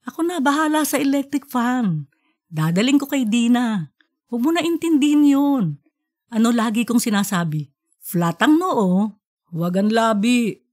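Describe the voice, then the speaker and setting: A woman puts on a caring middle-aged wife for an audiobook. The voice is high and swoops with feeling. She is excitable, fretful and coaxing. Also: moderate pace, pauses often. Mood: soothing. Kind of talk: audiobook character voice.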